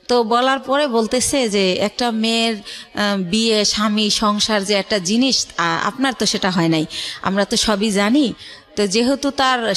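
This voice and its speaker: High-voiced Bangladeshi woman telling her story. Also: a bit bright. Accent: colloquial Bangladeshi. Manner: conversational narration that acts out reported dialogue. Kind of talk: personal storytelling in a radio interview.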